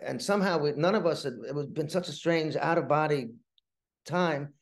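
A middle-aged man, a playwright being interviewed, tells stories conversationally in a halting, rambling way, often repeating words. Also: on the high side.

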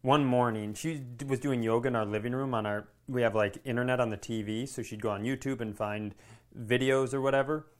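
A man's voice: medium pitch, fairly even intonation, a little fast. A man co-hosting a podcast, telling stories in a casual comedic way, rambling and interrupting himself with profane asides. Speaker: podcast co-host.

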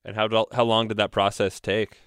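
Low smooth male interviewer. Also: little pitch movement, brisk, a bit bright, no echo, studio quality. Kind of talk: interview questions.

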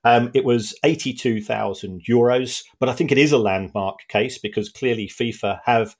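A man co-hosting a casual football podcast, speaking in halting phrases full of restarts, with measured indignation. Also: medium pitch. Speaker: podcast co-host.

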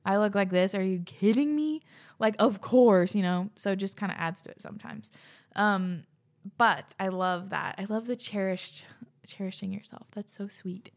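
A woman's voice: mid-range voice, moderate pace. A young woman hosting a podcast, chatting casually and gushing over listener submissions. She talks in a bubbly way, says "like" a lot, and sounds a little out of breath. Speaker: podcast host.

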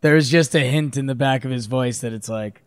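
A man's voice: medium pitch, a little fast, smooth, dry recording, studio quality. A man co-hosting a sports podcast with casual, joking, slangy banter.

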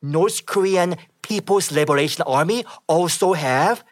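A man's voice: a little high, measured pace, no room sound, studio quality. A man interpreting into English, speaking formally and carefully. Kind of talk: consecutive interpretation.

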